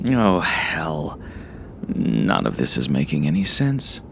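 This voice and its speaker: A man narrating a first-person horror story like a journal, brooding and sometimes hushed, in short halting phrases. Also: very deep voice, wildly swooping pitch, vocal fry, slight background noise. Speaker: author reading own horror story.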